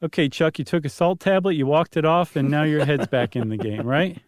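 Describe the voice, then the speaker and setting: Man with a higher-pitched voice, chatting science. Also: fairly quick, a little hiss or hum. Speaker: podcast co-host. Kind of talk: casual explanatory science chat.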